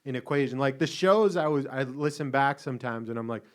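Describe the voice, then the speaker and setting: A man's voice: medium pitch, a little fast. A man co-hosting a casual podcast over a headset from a room at home, joking, laughing often and swearing. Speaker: podcast co-host. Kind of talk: casual chat. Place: home room, separate from co-host.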